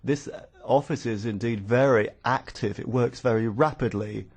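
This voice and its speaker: A man working as a conference interpreter, rendering formal speeches live in a halting, phrase-by-phrase way. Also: mid-range voice.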